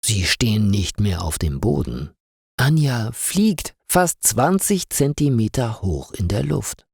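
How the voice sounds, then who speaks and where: A man's voice: lowish voice, sing-song, takes it slowly, gravelly, darkish tone, slightly rough, dry recording, studio quality. A man narrating a scripted story for learners, in simple, carefully articulated sentences.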